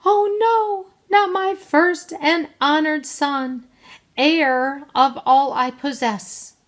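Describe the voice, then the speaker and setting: Woman acting stern mother, storybook character, high raised voice, proud, dramatic, declamatory. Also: steady tone, drawn-out words. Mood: alarmed. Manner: formal, declamatory, scolding.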